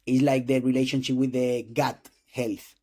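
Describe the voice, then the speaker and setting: A man in his twenties from Spain, speaking English with a Spanish accent as a non-native speaker, hosting an interview with halting restarts and frequent throat clearing. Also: medium pitch, level tone, mellow. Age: 20s. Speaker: host interviewing a doctor. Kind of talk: casual interview talk.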